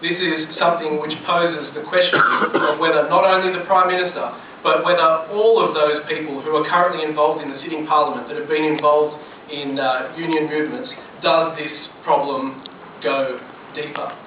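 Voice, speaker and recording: A man giving a formal introduction as event host, measured and oratorical. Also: on the high side, flat delivery. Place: hall at a public forum. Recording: very echoey hall, distant, dull, hissy.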